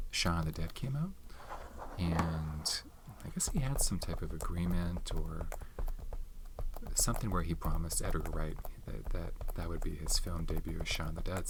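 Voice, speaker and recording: A soft-spoken man chatting unhurriedly about films, often trailing off to search for names and now and then dropping into a whisper. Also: sits low. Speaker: ASMR channel host. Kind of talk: soft-spoken ASMR movie chat. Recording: audible background noise with keyboard and tapping clicks. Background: tapping and typing clicks.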